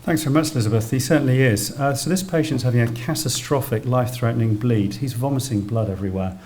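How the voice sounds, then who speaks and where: A man's voice: medium pitch, fast, a little mellow, a little hiss or hum. A British man giving a public medical lecture as a doctor, explaining technical terms plainly as he goes. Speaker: doctor lecturing on emergency radiology. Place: indoor public lecture venue.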